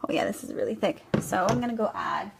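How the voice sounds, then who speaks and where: A woman's voice: mid-range voice, huge pitch swings, fast talker, creaky. A woman in her thirties with a French accent, demonstrating a recipe to viewers and checking in with "okay?" and "guys" after nearly every line. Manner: chatty, keeps tagging "okay?" and "guys". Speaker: vlogger demonstrating a smoothie recipe. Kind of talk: casual kitchen demo, talking to viewers. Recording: noisy home recording.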